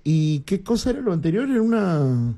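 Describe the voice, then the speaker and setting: A slightly high, husky male voice. He chats with his co-hosts easily, at an unhurried conversational pace. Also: big pitch swings, mellow. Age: 40s. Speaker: radio co-host. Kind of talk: casual radio chat.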